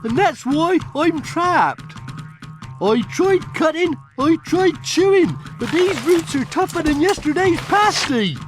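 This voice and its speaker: A man playing a rustic, excitable farmer in a game cutscene, folksy, with an English West Country accent. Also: extremely high pitch, slow pace, over music, exaggerated voice. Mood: pleading, aggrieved. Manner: folksy, bumpkin-like. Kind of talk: animated game cutscene acting.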